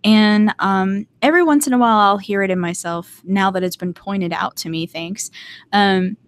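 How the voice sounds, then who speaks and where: A woman's voice: mid-range voice, moderate pace, smooth. A woman with a light North Carolina Southern accent chatting casually on a live stream, rambling and laughing often. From Southern United States. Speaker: streamer hosting a live hangout.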